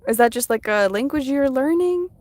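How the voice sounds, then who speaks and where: A woman whose first language is Russian, chatting softly on a casual live stream from her phone while she draws, reading out viewers' comments. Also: sits high, expressive, moderate pace, no room sound. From United States. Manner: soft-spoken, chatty, reads viewer comments aloud.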